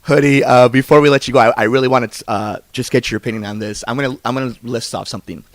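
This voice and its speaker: A man's voice: mid-range voice, steady tone, quick pace, no echo. A man hosting a podcast, speaking in a chummy way as he does promotional reads and casual interviews.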